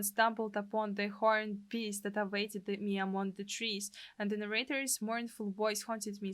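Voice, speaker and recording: A woman's voice: medium pitch, sharp tone. A woman from Russia, reading a visual novel's story aloud expressively as a video host.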